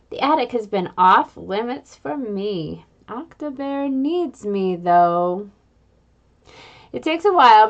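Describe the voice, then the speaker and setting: Casting: a higher-voiced woman telling a kids' story with playful energy, on a muffled, lo-fi recording. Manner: expressive, playful storytelling with sound effects. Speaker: reader. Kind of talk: children's book read-aloud.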